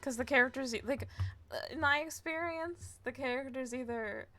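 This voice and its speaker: Woman streaming a game, highish voice, rambly casual chat, faint music behind. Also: moderate pace, pushed and bright. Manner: informal, rambling asides. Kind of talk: casual chatty gameplay commentary. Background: video game music.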